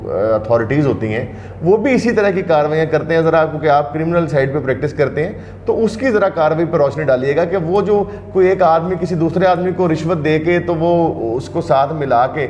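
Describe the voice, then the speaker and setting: A man's voice: on the high side, a little mellow, echoey room, a little hiss or hum. A male interview host asking long, winding questions about legal procedure.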